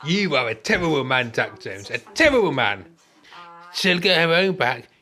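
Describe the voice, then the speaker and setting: A man's voice: fairly high pitch, sing-song, normal speed, bright, forceful tone. A man playing a teasing care home pal who scolds with glee in an amateur radio play. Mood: mock scolding.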